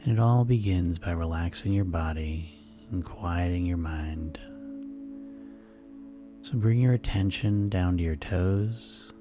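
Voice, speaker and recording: A man guiding a sleep hypnosis session in a slow, soothing, repetitive way. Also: deep voice. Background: music.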